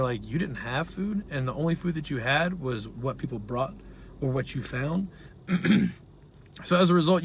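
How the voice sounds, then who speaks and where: A man who is a dietitian and nutrition professor, talking casually and earnestly to his viewers while his voice is raspy from laryngitis. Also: mid-range voice, slight background noise. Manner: earnest, conversational, with frequent fillers. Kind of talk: casual talk to camera.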